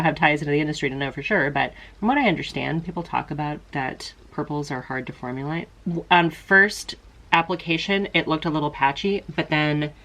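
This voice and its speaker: A middle-aged woman speaks in a low register, her voice slightly stuffy from congestion. She chats casually and rambles a little, poking gentle fun at herself as she talks through a makeup look. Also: poor audio. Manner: chatty, rambling, self-deprecating. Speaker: beauty vlogger. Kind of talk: casual makeup tutorial chat.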